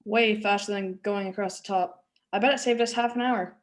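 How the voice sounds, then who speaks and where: A woman's voice: medium pitch, fairly even intonation, normal speed, natural voice. A woman playing a bold, teasing teenage boy in a table read of a script.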